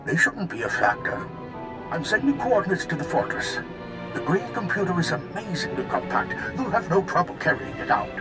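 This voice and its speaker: A man playing an elderly, theatrical, wise Jedi master in a video game, with dramatic pauses and drawn-out mentor phrasing. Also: sits high, sing-song, over loud music. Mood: brisk, commanding.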